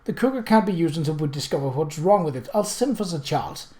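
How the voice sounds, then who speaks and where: Man reading as a brisk Victorian lady. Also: a little high, animated, mellow, natural voice. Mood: impatient. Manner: decisive, well-spoken.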